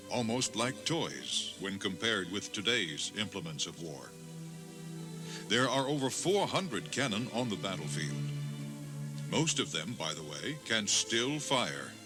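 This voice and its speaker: A man giving solemn, measured, dramatic scripted narration as a tour guide. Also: lowish voice.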